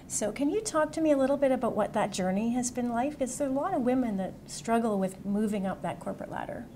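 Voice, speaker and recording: A woman's voice: mid-range voice, dark tone, slight background noise. A woman interviewer asking questions in a friendly, encouraging way.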